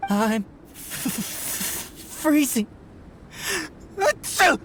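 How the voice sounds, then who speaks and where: Halting, shivering, timid adventurer. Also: male voice, very high voice, big pitch swings, noisy background, heavily acted. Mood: shivering, miserable. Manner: stammering, halting, apologetic. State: shivering with cold. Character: freezing, timid, hapless young male adventurer. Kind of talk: video game character acting.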